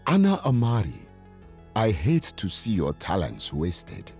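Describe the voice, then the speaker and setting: A man's voice: low-pitched, huge pitch swings, a little slow, a little mellow, faint music behind, exaggerated voice. A man playing an imposing, arrogant villain in a video game, menacing and condescending.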